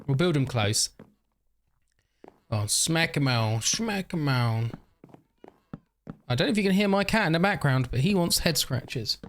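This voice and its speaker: A man's voice: on the high side, expressive, measured pace, pauses often, fairly bright tone, slight background noise. A man rambling through casual game commentary, overtired and a bit stuffy and nasal, often laughing at himself. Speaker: gaming YouTuber. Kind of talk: casual let's-play commentary.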